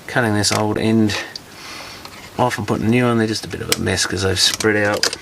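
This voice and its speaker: Man, low voice with plenty of fry, casual workbench commentary as a repair hobbyist, noisy recording with clicks. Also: fairly even intonation, brisk. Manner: muttering, thinking aloud while working. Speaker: electronics repair hobbyist presenting a teardown. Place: repair workshop. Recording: clear background noise with clicks.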